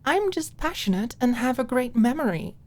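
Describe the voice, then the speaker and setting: A woman's voice: sits high, big pitch swings, normal speed, voice pushed up. A woman voicing a dorky, playful young woman in an audiobook, proudly passionate. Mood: proud, wistful. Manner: mumbles and recites movie lines under her breath. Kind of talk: audiobook character acting.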